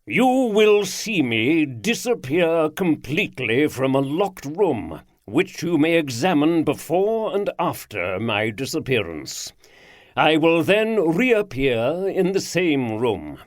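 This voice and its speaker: A man puts on a self-satisfied aristocratic lord in a storybook, drawling in a rich baritone. He announces slowly and grandly, his pitch swooping up and down. The sound is dry and close. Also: big pitch swings, no room sound. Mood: theatrical. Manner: slow, grand, theatrical announcing. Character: pompous aristocratic male lord, showman.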